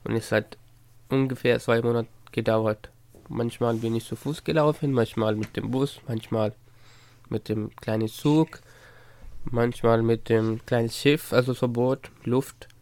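A man's voice: mid-range voice, steady tone, measured pace, a little mellow. A man in his twenties from Pakistan with a Pakistani accent, speaking plainly in simple sentences in a radio studio. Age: 20s. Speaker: interview guest.

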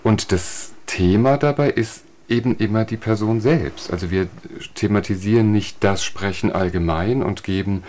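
A man who teaches speech training at a university, a guest in a radio interview who explains things in a measured, instructive way with everyday examples. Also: on the low side, darkish tone, a little hiss or hum.

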